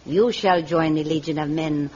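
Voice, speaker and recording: A woman playing a confiding jailer of a hidden city in a vintage radio adventure, husky and expressive. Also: low-pitched, normal speed. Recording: dull vintage radio recording.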